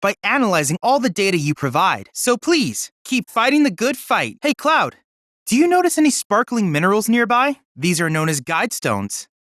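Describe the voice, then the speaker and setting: An actor playing a polite, earnest boyish android research assistant in a video game, with formal, precise, bookish diction. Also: male voice, high voice, fairly bright tone, no echo, clean studio sound. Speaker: game character, research guide. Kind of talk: scripted video game voice acting.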